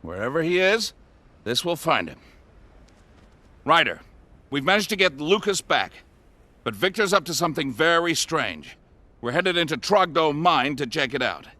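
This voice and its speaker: A man voicing an eccentric, excitable older scientist in a video game, with a genteel, exclamatory manner. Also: a little high, normal speed, long pauses, no echo. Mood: brisk, cheerful.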